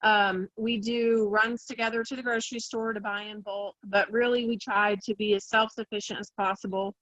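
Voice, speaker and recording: A woman's voice: mid-range voice, little pitch movement, moderate pace, a bit bright, dry recording, highs cut off. A woman on an online call giving an informal personal account as an organizer of a community group. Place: online call from a rural home.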